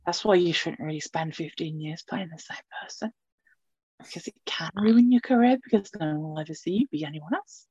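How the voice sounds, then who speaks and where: A woman's voice: low-pitched, fairly quick, dark tone, slightly rough, dry recording, highs cut off. A woman co-hosting a podcast in casual chat, rambling and chatty, laughing often. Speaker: podcast co-host.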